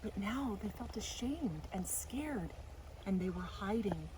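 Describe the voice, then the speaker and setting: A woman reading a children's story aloud as a storytime host, with deliberate, dramatic expression. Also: mid-range voice, animated, darkish tone, low-quality recording. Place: outdoors in a garden. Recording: faint outdoor noise, birds or animals. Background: faint outdoor ambience.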